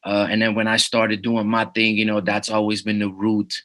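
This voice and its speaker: A man in his thirties, raised in the Netherlands, talks as a rapper guest in a relaxed, easygoing way. His voice sits low and stays fairly flat. The tone is bright and forceful. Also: normal speed. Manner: laid-back, many "you know what I'm saying" fillers. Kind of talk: casual interview.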